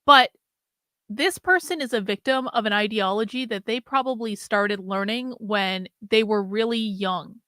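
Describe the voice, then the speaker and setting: A woman's voice: medium pitch, a little slow, pushed and bright, no echo, studio quality. A woman hosting a reaction show, talking bluntly in rambling asides with lots of filler and the odd swear word. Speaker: commentary host reacting to a clip. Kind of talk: casual reaction commentary.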